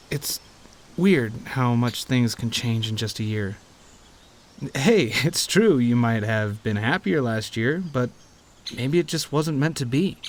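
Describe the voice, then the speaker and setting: A man playing a teasing, warm-hearted young friend in an audio roleplay, conversational and given to playful grumbling. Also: lowish voice, a little hiss or hum, lightly acted. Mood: gentle, reassuring. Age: young adult. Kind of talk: audio roleplay acting, addressing the listener.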